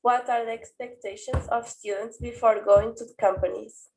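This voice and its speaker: A woman from Portugal, a former tourism student, asking a brisk, direct question. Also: a little high, steady tone, darkish tone. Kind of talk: prepared video question.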